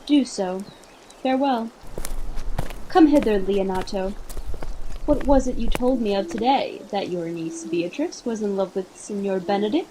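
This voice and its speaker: A woman's voice: medium pitch, measured pace, background noise, lightly acted. A woman acting a playful, scheming prince in an amateur Shakespearean radio play. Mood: jovial, commanding. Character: courtly male prince, playful, scheming leader.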